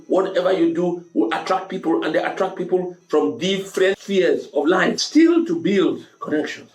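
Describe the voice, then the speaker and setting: A man's voice: a little high, darkish tone. A man with a Cameroonian accent hosting a motivational advice talk, speaking directly to listeners in an emphatic, avuncular way and repeating key phrases. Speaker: storytelling show host giving advice. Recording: echoey room with music underneath. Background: music.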